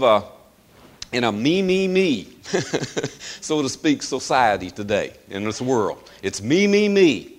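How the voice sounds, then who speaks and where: Hoarse preacher with lively swinging pitch. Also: male voice. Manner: folksy, chuckling, loose with fillers. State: hoarse, losing his voice. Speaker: pastor. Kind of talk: sermon.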